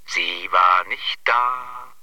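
An actor playing an eccentric, intense reciter of nonsense verse in an experimental radio play, with theatrical, repetitive fragmented phrasing. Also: male voice, on the low side, very slow, pushed and bright, dry recording, heavily acted, poor audio. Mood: insistent.

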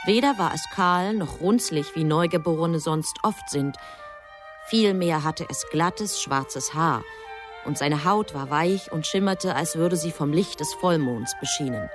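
Brief: composed female narrator, lower register, literary storytelling over clear background music. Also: unhurried.

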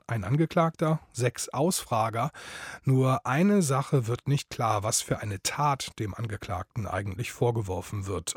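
Measured male radio host, husky tone, dry booth sound. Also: mid-range voice, no room sound. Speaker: radio presenter. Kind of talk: scripted introduction.

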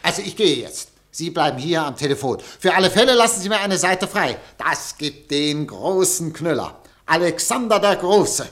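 An excitable newspaper director. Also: male voice, fairly high pitch, normal speed. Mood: excited. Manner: agitated, wavering then excited. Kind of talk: 1950s radio play acting.

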